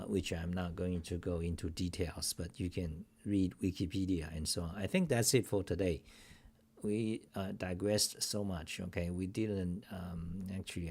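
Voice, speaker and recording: A man's voice: low-pitched, darkish tone, slightly rough. A middle-aged man hosting a casual screen-share talk show at his home desk, rambling and grumbling with profane asides. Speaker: host.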